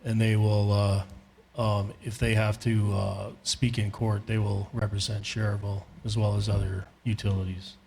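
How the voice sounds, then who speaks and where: A man's voice: lowish voice, flat delivery, normal speed, vocal fry, darkish tone, slight background noise. An American man speaking as a town manager, giving a brief explanation at a public meeting. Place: indoor town council meeting.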